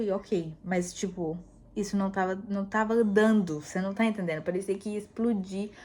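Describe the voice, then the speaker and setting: A woman's voice: mid-range voice, a little mellow. Chatty woman rambling in a casual vlog. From United States. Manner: chatty, rambling, laughs often. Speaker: vlogger. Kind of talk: casual chat.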